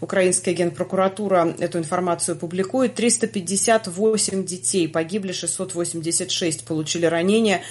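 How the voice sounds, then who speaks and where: Soft-spoken woman reading a news roundup. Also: fairly low pitch. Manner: measured, with frequent hesitation sounds. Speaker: podcast host. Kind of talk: news podcast chat and news summary.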